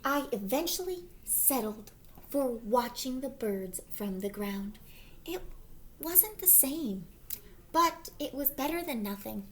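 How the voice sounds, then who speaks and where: A woman voicing an anxious, wistful egg who tells his own storybook tale in an earnest, expressive way. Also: sits high, takes it slowly, slight background noise, exaggerated voice. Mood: sad, fearful. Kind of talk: picture-book read-aloud.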